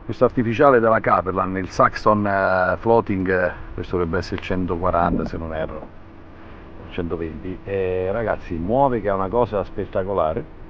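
A man's voice: low-pitched, normal speed. A man in his thirties, a fishing vlogger, talking in an easygoing way outdoors on a kayak by the sea into an action camera held at arm's length. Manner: relaxed, easygoing commentary. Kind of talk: casual outdoor vlog narration. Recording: dull action-camera audio with wind and water noise.

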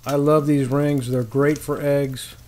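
A man's voice: on the high side, level tone, slow, warm tone. A man hosting a casual cooking demonstration outdoors, giving easygoing, informal instructions with folksy phrasing. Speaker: cooking show host. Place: outdoor paved patio beside a griddle. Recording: clear sizzling griddle noise behind voice. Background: frying and sizzling food.

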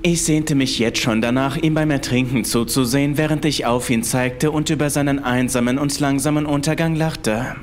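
A man's voice: mid-range voice, fairly even intonation, normal speed, few pauses, slight background noise. A man reading a scripted first-person horror story as its narrator. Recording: music behind the voice. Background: background music.

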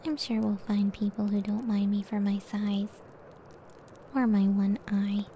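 A woman acts out a gentle monster-girl wife, a cyclops giantess, in an intimate ASMR roleplay. Her voice is very dark and soft, and she takes her time with tender, breathy phrases. There is a faint hiss behind her. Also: mid-range voice, flat delivery, a little slow, lightly acted. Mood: gently reassuring. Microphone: close ASMR microphone.

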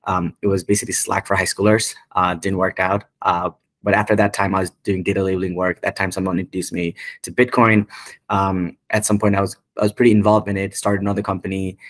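A man's voice: low voice, little pitch movement, fast talker, fairly bright tone, no room sound. A man in his twenties with a Nepali accent, answering interview questions in a casual, rambling, informal way on a video call. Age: 20s. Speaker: guest, tech company founder. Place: indoor room, on a video call. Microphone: video call microphone.

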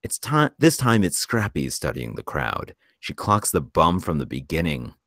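A man's voice: rumbling low, sing-song, normal speed, a bit bright, no room sound, clean studio sound. A man reading screenplay stage directions as scripted narration for a table read.

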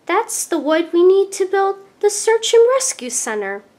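A woman's voice: very animated, measured pace, darkish tone, heavily acted. A woman voicing a brave, eager young male tank engine from a storybook, in an emphatic, exclaiming way. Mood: excited. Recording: clear background noise with low rumble.

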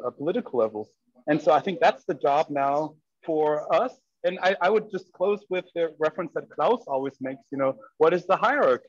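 A man's voice: mid-range voice, no echo. A man whose first language is German speaks on a video call, rambling through his point with frequent fillers. His audio is dry and muffled, lacking clarity. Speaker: discussion group member. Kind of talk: casual academic discussion.

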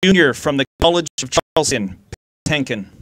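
A young man at an outdoor podium microphone, reading out award winners in a steady, formal way. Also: a little high, normal speed, slight background noise. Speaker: awards presenter. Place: outdoor stage by a river.

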